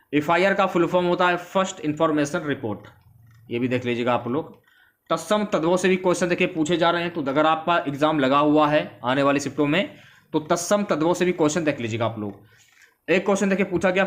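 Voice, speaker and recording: A man giving an informal exam-prep lecture, explaining questions and answers and repeating key phrases for students. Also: fairly high pitch.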